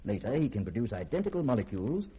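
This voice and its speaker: An erudite recluse in a 1950s radio play. His medium-pitched voice is a little rough and moves in lively swings. He lectures formally, with haughty eloquence. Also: very fast, dull audio. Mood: urbane, wry. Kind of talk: 1950s radio drama acting.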